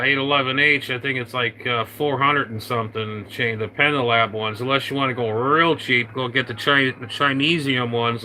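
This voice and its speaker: A man's voice: mid-range voice, sharp tone, a little hiss or hum, rough recording. A man in casual on-air radio chat, rambling with many fillers and restarts. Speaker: radio operator.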